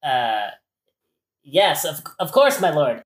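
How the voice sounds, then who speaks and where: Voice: medium pitch, sing-song, slow. An actor playing a fawning, flustered male courtier in a comedic audio play, hesitant and deferential. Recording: roomy echo.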